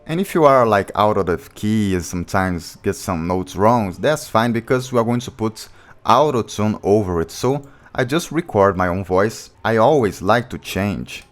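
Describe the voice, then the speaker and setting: Low-voiced man explaining over beats. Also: lively intonation, moderate pace. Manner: plain step-by-step explaining, non-native grammar. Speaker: music producer teaching beatmaking. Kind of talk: casual screen-recorded tutorial. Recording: beat music playing behind the voice.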